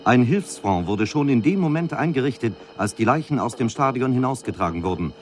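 A man's voice: mid-range voice, expressive, fairly quick, slight background noise, close to an everyday voice. An actor playing a male news reporter who delivers a factual, formal bulletin in a radio drama. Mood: sober. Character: male news reporter soberly announcing a city disaster.

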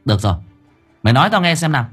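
A man's voice: fairly high pitch, sing-song, rapid-fire, fairly bright tone, husky, soft background music, voice pushed up, full character voice. A man voicing a hot-tempered, foul-mouthed young boy exorcist in a storybook, blunt and threatening. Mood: impatient.

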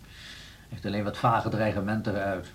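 A man's voice: lowish voice, level tone, normal speed, a little hiss or hum, natural voice. An actor in a radio drama playing a stubborn, sceptical male string teacher with a blunt, dismissive way of talking.

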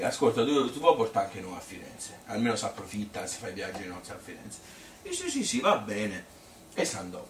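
A man playing an old Tuscan grandmother who reminisces in a rambling, colloquial monologue, chatty, feisty and devoted to her husband. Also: lowish voice. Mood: fond reminiscing. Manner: rambling, colloquial, repetitive, with little interjections. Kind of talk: spontaneous-sounding theatrical monologue. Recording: echoey, distant, noisy stage recording.

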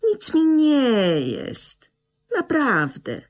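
An actress in a radio drama playing a bitter, resentful middle-aged widow, reproachful and emotionally swinging, with a husky, creaky voice. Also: female voice, sits high, wildly swooping pitch, extremely slow, full character voice. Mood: brittle, evasive. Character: bitter, resentful widow talking to her dead husband.

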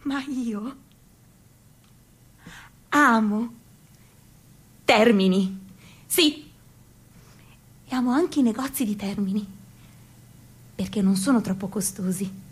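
High-voiced woman in a dramatic radio monologue. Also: slow pace, pauses often, husky. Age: young adult. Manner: expressive, shifting between whispers and loud declamation. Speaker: actress performing a theatrical monologue.

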